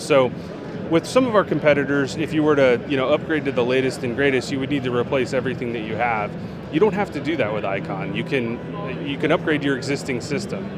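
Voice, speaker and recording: A man speaks in a mid-range voice, relaxed and chatty, often pausing on "um" and restarting his sentences. He is answering questions as a guest at a busy trade show, and noise from the floor carries clearly behind him. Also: medium pitch. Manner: relaxed, frequent fillers and restarts. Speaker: product manager, interview guest. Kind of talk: casual trade-show interview.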